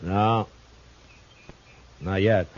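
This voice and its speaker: An actor playing a steady, terse, authoritative lawman in the style of a 1950s radio western. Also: deep voice, drawn-out words. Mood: firm, watchful. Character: steady, commanding Old West lawman. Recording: dull vintage radio recording.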